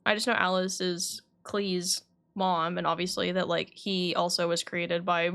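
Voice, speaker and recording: A woman's voice: lowish voice, flat delivery, a little slow, gravelly, a bit bright. A woman chatting casually and informally on a live game stream, thinking aloud as she plays.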